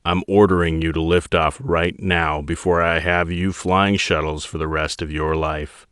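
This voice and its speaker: A man voicing a stern, commanding navy admiral who speaks in slow, icy, clipped orders. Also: deep voice, steady tone, fairly bright tone, no room sound, studio quality. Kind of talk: audiobook character voice.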